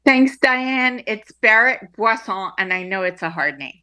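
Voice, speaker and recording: A woman's voice: medium pitch, expressive, unhurried, bright, forceful tone. A woman who is an artist, a guest on a radio talk show, playful and teasing. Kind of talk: casual radio interview.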